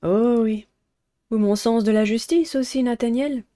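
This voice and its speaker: A woman's voice: on the high side, unhurried, mellow, close to an everyday voice. A woman voicing an eager, inquisitive teenage schoolgirl heroine in a dating game. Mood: earnest.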